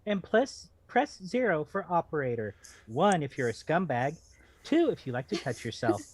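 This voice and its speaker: A man's voice: on the high side, big pitch swings, normal speed. A man joining a group chat as a panel member, trading casual, wisecracking banter.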